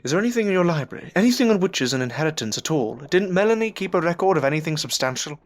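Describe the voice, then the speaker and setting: A man playing a troubled, earnest gentleman in a period audio drama, speaking formally and hesitantly. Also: fairly high pitch, lively intonation, very fast, close to an everyday voice. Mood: urgent. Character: troubled, earnest gentleman haunted by newfound powers. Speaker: voice actor and narrator. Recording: noisy amateur audio drama recording.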